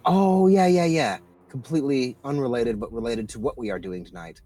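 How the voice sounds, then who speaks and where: Male gamer, average pitch, loose conversational stream banter over faint music. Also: fairly quick, warm tone. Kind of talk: casual livestream banter.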